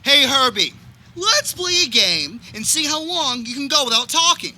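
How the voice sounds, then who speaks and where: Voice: sits high, very animated, normal speed, bright, forceful tone, full character voice. A performer playing a bossy, exasperated, impatient teenage boy in a live outdoor comedy radio play. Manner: commanding, exclamatory, impatient. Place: backyard, before a live audience. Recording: live outdoor recording, faint background noise.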